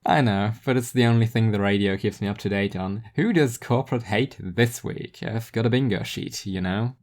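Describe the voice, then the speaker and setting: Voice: mid-range voice, normal speed, a little mellow, natural voice. A performer voicing a friendly, concerned man, an old friend, in a casual, teasing audio story manner. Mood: wry, friendly.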